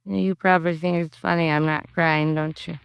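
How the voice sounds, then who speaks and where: Bitter grieving mother: old-time radio noir, defensive, working-class slang, gravel in a resonant voice. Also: sits low, fairly even intonation, moderate pace. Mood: bitter, defiant. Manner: slangy working-class speech, brooding repetition. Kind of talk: 1950s radio crime drama acting.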